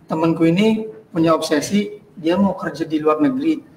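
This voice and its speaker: An outgoing young male student, played by an actor speaks politely and casually in a high voice. He sounds distant from the mic and echoey, with some noise around him. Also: moderate pace, a little mellow, close to an everyday voice. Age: young adult. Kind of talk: acted dialogue in a short film.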